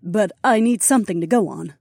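A woman's voice: medium pitch, brisk, vocal fry, a little mellow, dry recording, clean studio sound. A woman voicing a helpful, capable young princess mage in fantasy audiobook dialogue. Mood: eager.

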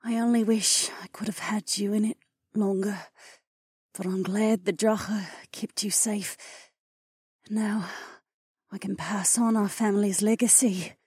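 A man voicing a frail, ancient female dragon, a dying and tender mother, in a weak, breathy, murmuring voice for an audiobook. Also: high voice, fairly even intonation, unhurried, darkish tone, no echo, clean studio sound, pitched above the performer's own voice. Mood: grave, weary. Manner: weary, murmuring.

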